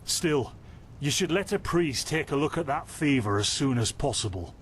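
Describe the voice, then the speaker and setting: Kindly, chatty apothecary, lively higher voice. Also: male voice, moderate pace, a little hiss or hum. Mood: busy, reassuring. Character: kindly, anxious male traveling apothecary in a fantasy world. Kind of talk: fantasy game character dialogue.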